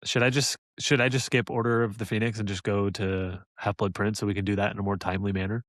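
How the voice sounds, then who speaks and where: A man's voice: fairly low pitch, flat delivery, fast, fairly bright tone, dry recording, clean studio sound. A man hosting a podcast in casual chat, with a joking, playfully teasing manner. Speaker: podcast host.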